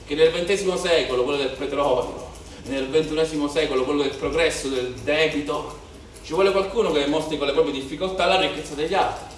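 An actor playing a weary, bitter policeman and shepherd's son from southern Italy in a declamatory theatrical monologue with dramatic pauses and repetitions. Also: fairly high pitch, fairly even intonation, natural voice. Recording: very echoey theatre, distant mic, faint noise.

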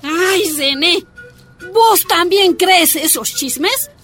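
An actress playing a principled Bolivian wife and union activist in a radio drama, emotional and imploring. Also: female voice, very high-pitched, lively intonation, drawn-out words, fairly bright tone, soft background music, exaggerated voice. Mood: hurt, pleading.

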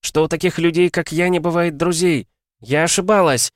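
Male narrator as commanding old woman sea captain, raised pitch, urgent shouts, audiobook drama, dry sound. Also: steady tone, normal speed, no room sound, lightly acted. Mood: fierce, moved. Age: older.

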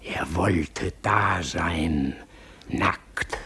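A man's voice: deep voice, drawn-out words, sharp tone, husky, heavily acted. An actor playing a tormented, fearful, imperious king in a German radio play, declaiming with anguish. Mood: brooding horror. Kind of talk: German radio play, theatrical dramatic acting.

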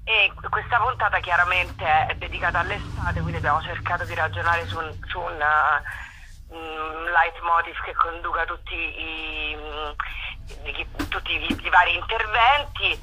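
A woman in a casual interview, speaking in a rambling, halting way with self-deprecating irony, as a comic performer. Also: on the low side, steady tone, pushed and bright, a little hiss or hum, poor audio. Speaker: comic actress and writer, interview guest.

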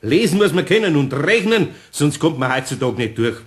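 Lively older Bavarian craftsman, rather high-voiced. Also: normal speed. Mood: patient. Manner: homely, chuckling, gently instructive. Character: good-natured older male master craftsman, patient and amused. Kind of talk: German radio play acting.

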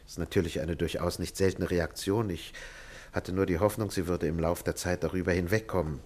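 A man's voice: low voice, fairly even intonation, a little fast, a little mellow. An actor playing a worried middle-aged psychiatrist and father in a 1950s German radio play, formal and hesitant in manner. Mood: troubled. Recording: 1950s radio drama recording.

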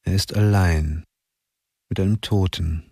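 A man narrating a radio crime drama in a measured storytelling voice. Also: sits low, fairly even intonation, gravelly, mellow, husky, no echo, clean studio sound. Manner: measured, present-tense storytelling.

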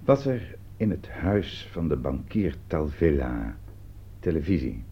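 Stern male interrogator, deep voice, curt and sarcastic, radio drama, muffled recording. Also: very animated, a little slow, slightly rough, full character voice. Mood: pointed, accusing. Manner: curt, probing, commanding. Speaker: actor in a radio play. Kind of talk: Dutch radio drama dialogue. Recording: dull, band-limited old radio recording.

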